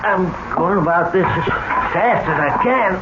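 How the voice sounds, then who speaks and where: A slow-talking, nervous small-town cabbie with a deferential, halting manner and a dark secret. It is old radio drama, muffled and buried in heavy noise. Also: male voice, high voice. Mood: hesitant, uneasy. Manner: hesitant, deferential. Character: nervous, apologetic small-town taxi driver turned reluctant killer. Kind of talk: 1970s radio drama acting. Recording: dull, noisy old radio broadcast.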